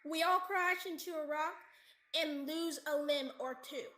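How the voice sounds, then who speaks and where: An anxious, doubting survivor in a radio drama, played by a teenage woman. The voice is extremely high and quick to blame, in an echoey room with faint noise. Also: pushed and bright. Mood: exasperated. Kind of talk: radio theatre acting.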